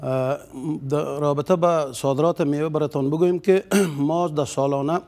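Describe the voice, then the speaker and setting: A middle-aged man answers interview questions in a studio, hesitant and full of fillers. His voice is a little high. He starts formally before he gets to the point. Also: fairly even intonation, a little mellow. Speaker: trade union head, studio guest. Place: TV news studio.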